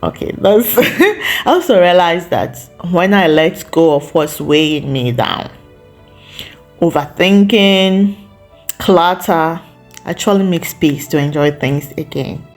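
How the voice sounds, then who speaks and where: A woman hosting a lifestyle vlog, talking casually to viewers in a reflective, encouraging way with short pauses between phrases. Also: fairly low pitch, lively intonation, faint music behind.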